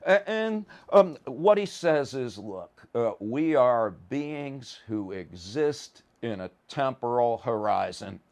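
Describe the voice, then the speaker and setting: A man's voice: mid-range voice, expressive, slow, hardly any pauses, darkish tone. A man lecturing informally on a course, explaining ideas in colloquial language with plain everyday examples and often stammering slightly as he starts a phrase. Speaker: lecturer in a psychology and philosophy course.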